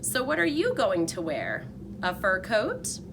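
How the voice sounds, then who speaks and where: A woman's voice: mid-range voice, huge pitch swings, a little slow, creaky, noisy background, full character voice. A woman voicing a friendly male school janitor with a jovial, teasing manner in a children's storybook.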